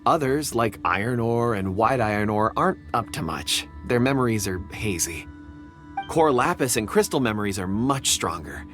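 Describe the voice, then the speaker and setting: A man's voice: lowish voice, animated, normal speed, fairly bright tone, soft background music. A man acting a polite, earnest and slightly hesitant young man in a video game. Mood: earnest explaining. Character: polite, earnest young man who reads ore memories.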